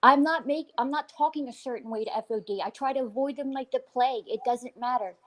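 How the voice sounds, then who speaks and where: A woman's voice: high-pitched, fast, a bit bright. A woman on a live stream panel, insistent and pushing back firmly.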